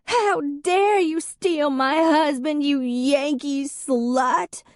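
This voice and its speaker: A woman's voice: high voice, lively intonation, takes it slowly, dry recording, clean studio sound, voice pushed up. A woman voicing a drunk, furious woman who slurs and shrieks. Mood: hysterical. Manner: slurring, shrieking.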